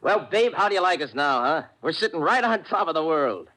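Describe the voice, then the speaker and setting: An actor playing a brash, cocky young gang boss in an old-time radio crime drama, with tough, slangy, bossy talk. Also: male voice, a little high, very animated, a little fast, bright, forceful tone, rough recording. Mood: excited, irritated. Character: brash, cocky young gangster boss posing as a dead leader.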